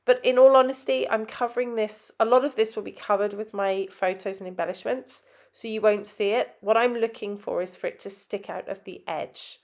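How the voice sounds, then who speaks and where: A woman's voice: medium pitch, fairly even intonation, moderate pace, few pauses, a little mellow. Nasal-sounding woman with a head cold. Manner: chatty, explains step by step with frequent ums. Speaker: scrapbook designer. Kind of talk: voice-over tutorial narration.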